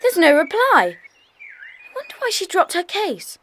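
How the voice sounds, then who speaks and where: A woman playing an impatient, sharp-tongued child detective in a children's audio drama. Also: very high voice, huge pitch swings, normal speed, exaggerated voice. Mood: curious. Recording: noisy old cassette dramatization.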